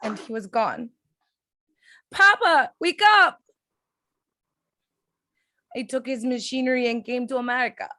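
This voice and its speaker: Woman acting slow, lilting, wistful young immigrant. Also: fairly high pitch, big pitch swings, bright, forceful tone, no echo, heavily acted. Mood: wistful, grieving. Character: gentle sincere young woman immigrant rat-catcher, grieving her father. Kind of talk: amateur screenplay table read.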